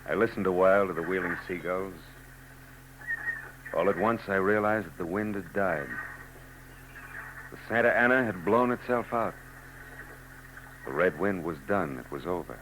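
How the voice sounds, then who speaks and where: An actor playing a weary hard-boiled private eye narrating a 1940s radio drama in dry, world-weary first person. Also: male voice, sits low, moderate pace, long pauses, a bit bright, slight background noise, poor audio. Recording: old radio broadcast with music under.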